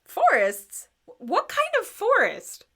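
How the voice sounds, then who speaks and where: A woman playing an excitable, chatty wandering musician, eager and dramatic, in a radio drama. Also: very high-pitched, slow, heavily acted. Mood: curious.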